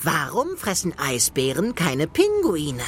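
Curious, brave boy grasshopper for kids' audio play, extremely animated, slightly noisy ambience. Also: low-pitched, measured pace, heavily acted. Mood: puzzled. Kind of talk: children's audio drama acting.

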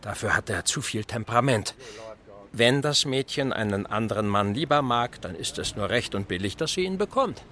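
An actor playing a genial, blunt older married admiral in a German radio drama, talking in a chatty, emphatic, hesitating way. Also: male voice, on the low side, expressive, normal speed, pushed and bright. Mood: assured, emphatic.

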